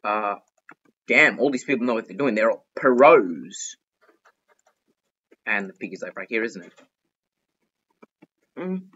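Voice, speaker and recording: Young man, gaming commentary, bright tense voice, dull lo-fi sound, excitable and chatty. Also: lowish voice, slow pace, long pauses, breathy edge. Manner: chatty, excitable, frets and pleads aloud. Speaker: gaming YouTuber. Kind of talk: casual gameplay commentary.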